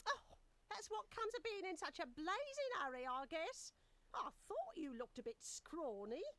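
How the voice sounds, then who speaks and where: An old female dragon for an adventure game. She is high and piercing, swooping all over as she fusses and teases. She is crotchety, coquettish and very theatrical. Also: very animated, moderate pace, fairly bright tone, dry recording, exaggerated voice. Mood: haughty, crotchety. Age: older. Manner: theatrical, teasing, fussy, grandmotherly chatter. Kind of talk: audio drama adventure game acting.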